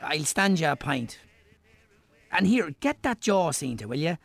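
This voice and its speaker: Man playing helpful Irish countryman, creaky voice, fairly high, easygoing, radio drama. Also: sing-song, unhurried, fairly bright tone, no room sound, full character voice. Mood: friendly, matter-of-fact. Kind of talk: naturalistic radio drama acting.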